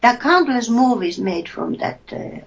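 A woman from Finland with a Finnish accent, chatting casually about a film, searching for words and pausing often. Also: on the high side, big pitch swings. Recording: dull lo-fi recording.

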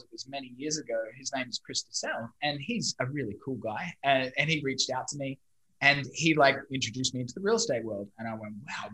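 Chatty Australian guest in his thirties, bright and forceful delivery. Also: medium pitch, rough recording. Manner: chatty, storytelling, mild swearing. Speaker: guest, digital marketing company owner. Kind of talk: casual podcast interview.

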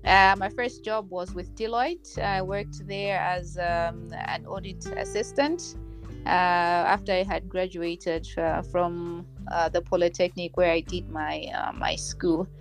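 Podcast guest: woman, 30s, from Malawi, measured explainer, light guitar music under her voice. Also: fairly low pitch, steady tone. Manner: measured, explanatory, with frequent fillers. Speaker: guest, finance executive. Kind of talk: podcast interview.

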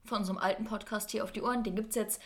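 A woman's voice: medium pitch, steady tone, very fast, a bit bright. A 21-year-old woman co-hosting a podcast, chatting casually in an enthusiastic, gushing and giggly way. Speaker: podcast co-host.

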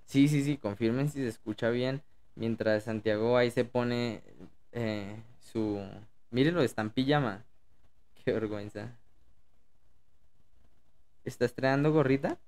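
Colombian male podcast co-host, mid-pitched voice, informal, colloquial, given to teasing. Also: a little slow, long pauses, darkish tone. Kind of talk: casual chat.

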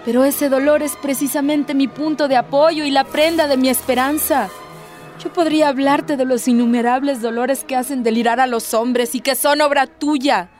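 A woman's voice: on the high side, natural voice. An actress playing an impassioned, devout woman who pleads earnestly, with theatrical, declamatory delivery in a radio drama.

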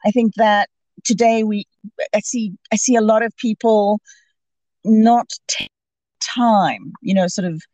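A woman's voice: mid-range voice, a little slow, pauses often, a little mellow, no echo. A woman from Zimbabwe, a podcast guest calling in, talking in a hesitant way with many restarts and fillers. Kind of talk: casual interview conversation. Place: calling in remotely.

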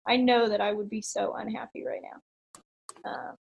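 A woman's voice: fairly high pitch, level tone, unhurried, gravelly, breathy edge, dull audio. A woman in her late twenties, a podcast guest talking casually and upbeat, with frequent ums and little laughs. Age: 20s. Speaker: podcast guest, online entrepreneur. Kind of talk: casual interview chat.

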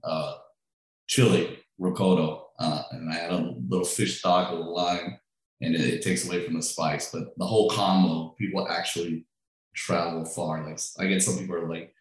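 A deep-voiced man from Peru talks about his cooking in a loose, conversational way, often doubling back on his words. His voice rings with heavy echo from the room around him. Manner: relaxed, chatty, with frequent restarts and fillers. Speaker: food truck owner and chef. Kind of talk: interview answers. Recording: very echoey room.

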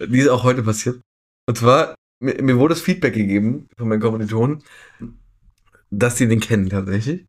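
A young man in his twenties talks as a podcast co-host. His delivery is informal and jokey, with plenty of slang, in a middle-pitched voice. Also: a little fast. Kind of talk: casual comedic podcast chat.

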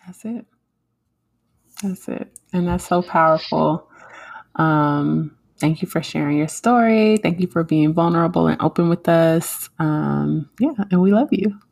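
A woman's voice: deep voice, a little slow, gravelly, a little mellow. A woman hosting an interview, affirming and encouraging her guest. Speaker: podcast host. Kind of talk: conversational interview.

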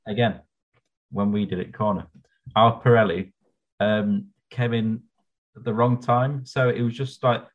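A man's voice: fairly low pitch, steady tone, unhurried, pauses often, lo-fi sound. A man co-hosting a podcast, easygoing, telling anecdotes from school shows. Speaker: podcast co-host. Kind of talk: casual chat about musicals.

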